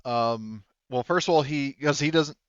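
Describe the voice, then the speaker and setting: A man running a tabletop roleplaying game, improvising his narration in a casual, halting, sweary way. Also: medium pitch, clear, resonant tone, no echo, highs cut off. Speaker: game master.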